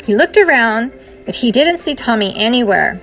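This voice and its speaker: A woman reads a children's story aloud like a teacher. Her voice is fairly high and very varied in melody, with faint music behind it. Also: animated, moderate pace, a bit bright.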